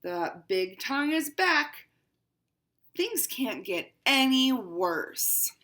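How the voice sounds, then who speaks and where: A fussy, haughty house cat tells her tale in a storybook voice, unhurried and exclaiming, as a woman reading aloud plays her. The tone sits fairly high. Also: big pitch swings, sharp tone, heavily acted. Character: haughty pampered house cat, female-ish narrator, fussy. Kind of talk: read-aloud picture book.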